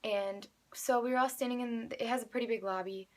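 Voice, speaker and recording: A woman's voice: a little high, steady tone, a little fast. An eighteen-year-old woman with an American accent, telling a personal story casually in a chatty, rambling way full of fillers. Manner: chatty, rambling, youthful filler-laden speech. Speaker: vlogger, amateur singer.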